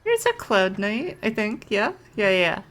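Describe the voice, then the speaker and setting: A woman giving casual, playful and expressive commentary as she streams a game. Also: mid-range voice, moderate pace, soft background music.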